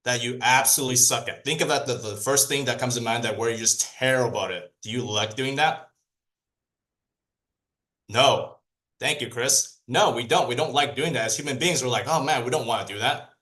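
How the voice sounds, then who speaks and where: A man whose first language is Cantonese, giving a lively training talk to a group, asking them questions and repeating key points. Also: mid-range voice, normal speed. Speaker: sales trainer.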